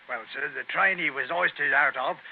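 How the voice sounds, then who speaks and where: A man's voice: on the high side, normal speed, gravelly. An actor playing a deferential working-class railway man with folksy, ungrammatical speech, in 1940s radio drama style. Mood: deferential, matter-of-fact. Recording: noisy, tinny old radio recording.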